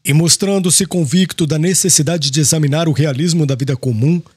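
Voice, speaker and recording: A man reading scripted literary audiobook narration in a formal, expressive manner. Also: on the high side, brisk, breathy edge, no echo, clean studio sound.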